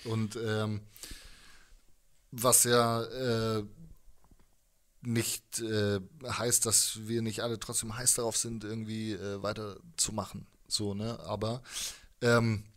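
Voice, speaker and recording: Male musician, thirties, lowish voice, loose hesitant interview chat. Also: level tone, slow, fairly bright tone. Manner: hesitant, informal slangy talk. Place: living room with instruments, indoors. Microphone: handheld microphone held close.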